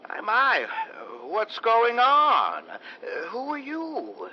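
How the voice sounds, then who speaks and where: An actor playing a sinister, oily doctor who feigns kindness, speaking haltingly in an old-time radio horror drama. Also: male voice, extremely high pitch, wildly swooping pitch, lo-fi sound, heavy background noise, heavily acted. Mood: creepy, unctuous. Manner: slow, halting, creepy. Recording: band-limited vintage radio sound.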